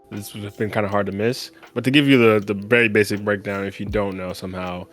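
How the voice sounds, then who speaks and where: A man hosting a podcast, talking through sports news in a casual, conversational and explanatory way with emphatic repetition. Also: lowish voice. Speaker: podcast host.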